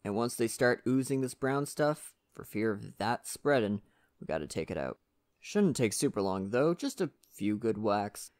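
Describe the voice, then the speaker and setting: Acted male lumberjack, folksy, courteous, easygoing, very lively intonation, mid pitch, ASMR roleplay. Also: moderate pace, close to an everyday voice. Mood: explaining, calm. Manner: chatty, folksy, gently courteous. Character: friendly, poetic male Canadian lumberjack, burly and easygoing. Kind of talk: ASMR roleplay addressed to the listener.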